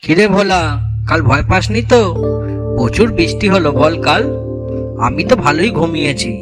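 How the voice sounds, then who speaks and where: A man's voice: fairly high pitch, animated, normal speed, lightly acted. A man voicing a dutiful, chatty village boy in a cartoon, with a simple, childlike way of talking. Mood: affectionate. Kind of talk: cartoon dialogue and verse recitation. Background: background music.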